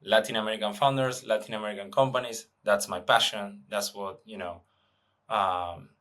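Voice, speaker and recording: Hesitant Argentine-born man, low voice. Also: fairly even intonation, normal speed, fairly bright tone. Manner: hesitant, many fillers and restarts. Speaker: guest, venture investor. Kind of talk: casual interview answers.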